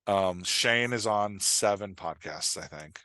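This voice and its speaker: Podcast guest: middle-aged male, lowish pitch, dry close sound, candid and self-deprecating, slips into quoted asides. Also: takes it slowly, pushed and bright, no room sound. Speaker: podcast guest, fiction writer and dialogue coach. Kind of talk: candid, conversational interview talk.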